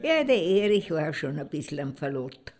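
A woman's voice: sits low, big pitch swings, moderate pace, a little mellow. A woman playing an older, jilted woman in an audio drama, rambling in a wistful, resigned way.

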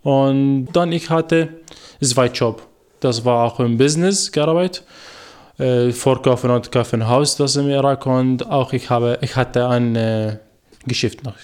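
Young Iraqi man in halting German. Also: medium pitch, darkish tone, clean tone. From Iraq. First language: Arabic. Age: 20s. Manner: halting learner's German with many fillers and restarts. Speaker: guest, former journalist. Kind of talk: radio interview.

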